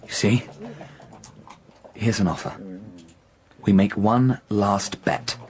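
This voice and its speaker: An actor playing a reckless, indebted young gentleman gambler in a radio drama, agitated, insistent and repeating himself as he presses. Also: fairly low pitch, fairly even intonation, slow pace. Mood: desperate bargaining.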